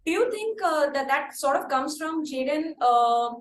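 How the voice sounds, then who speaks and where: A woman hosting a conversational interview, asking halting, wordy questions. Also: high voice, animated. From India. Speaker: interview host. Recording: echoey room with light background noise.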